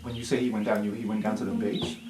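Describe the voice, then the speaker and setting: A man's voice: on the low side, flat delivery, quick pace. A man narrating an improvised tabletop game as game master. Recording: echoey room, music underneath.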